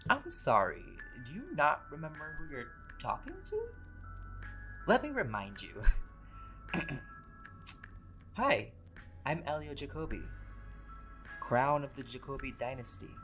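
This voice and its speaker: A man playing a laid-back, centuries-old vampire scholar in an audio roleplay, talking in a relaxed, conversational and wryly playful way. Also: fairly high pitch, measured pace, long pauses, heavily acted. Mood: playfully proud. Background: music.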